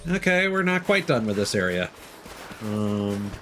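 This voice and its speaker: A man's voice: lowish voice, sing-song, a little slow. A man giving casual live game commentary, muttering joking asides to himself. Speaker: game streamer. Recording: clear background game noise. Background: video game sound.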